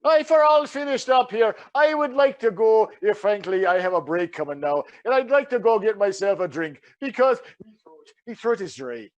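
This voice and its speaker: A man's voice: sits high, lively intonation, normal speed, few pauses, no room sound. A man voicing a chatty, touchy Irish government caseworker in an improvised comedy call, with theatrical, folksy exclamations. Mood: businesslike. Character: chatty, easily offended Irish government caseworker, flattering and old-fashioned. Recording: dull, lo-fi close audio.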